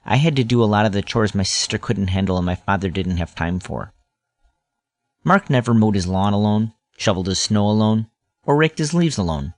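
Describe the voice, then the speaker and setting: A man's voice: fairly low pitch, animated, brisk, no echo, lightly acted. A man playing a grown-up narrator who recalls a scary boyhood adventure in earnest, dramatic first-person storytelling. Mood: reflective, suspenseful.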